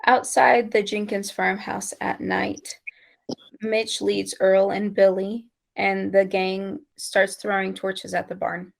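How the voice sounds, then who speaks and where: A woman's voice: medium pitch, flat delivery, slow, gravelly. A woman reading scripted stage directions aloud as narrator at an amateur table read.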